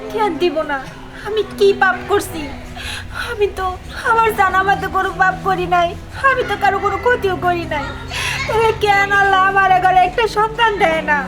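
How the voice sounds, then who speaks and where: A very high-pitched, tearful village wife, acted by a woman, pleads in grief. Loud music plays over this drama voice. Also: a little fast. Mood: anguished, pleading. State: tearful, crying.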